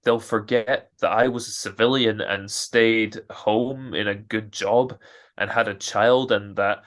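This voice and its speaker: A man's voice: fairly low pitch, steady tone, unhurried, a bit bright. A man playing a nervous, fretful expectant father with an anxious stammer in a radio drama. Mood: bitter. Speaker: amateur radio drama actor.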